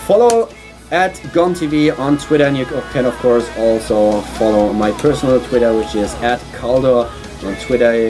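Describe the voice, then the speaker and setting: A man from Germany with a German accent, casting an esports stream on his own in a small studio, chatty with many fillers and restarts. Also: on the low side. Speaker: esports caster. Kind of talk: solo esports commentary.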